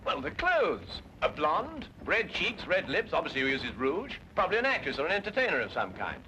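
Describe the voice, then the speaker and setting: An English actor playing a brisk, impatient, commanding gentleman detective in an old-time drama. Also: a little high, wildly swooping pitch, a little hiss or hum, heavily acted, low-quality recording. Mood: brisk, exasperated. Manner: urgent, clipped, deductive.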